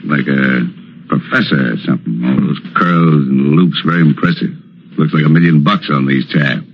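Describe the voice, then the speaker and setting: A man's voice: extremely low pitch, normal speed, husky, soft background music. An actor playing a falsely genial, menacing crime boss with slangy American tough-guy talk, in 1940s radio suspense style. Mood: oily, pointed. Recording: muffled, noisy vintage radio recording.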